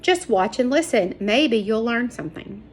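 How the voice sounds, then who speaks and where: A woman's voice: a little high, expressive, normal speed, slight background noise, lightly acted. A woman voicing a bossy but tender-hearted girl in a children's audiobook, with emphatic, emotional delivery. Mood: scolding.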